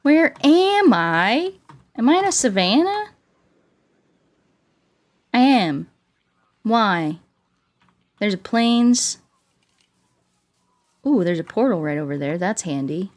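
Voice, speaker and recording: A woman's voice: medium pitch, sing-song, takes it slowly, long pauses. A woman doing casual, playful game livestream commentary, chatting to viewers and often repeating her words and phrases.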